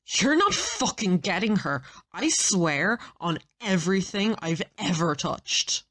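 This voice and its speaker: A woman's voice: medium pitch, sing-song, slow, a bit bright, full character voice. A woman playing a fierce, vengeful young fighter in an audio drama, speaking in a hostile, cutting, threatening way. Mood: seething. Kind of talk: audio roleplay drama acting.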